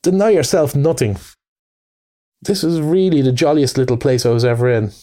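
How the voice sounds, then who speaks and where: A man reading aloud voices a cheery, bustling male water rat who talks in a brisk, hearty, encouraging way. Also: mid-range voice, mellow, natural voice. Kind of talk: storybook reading.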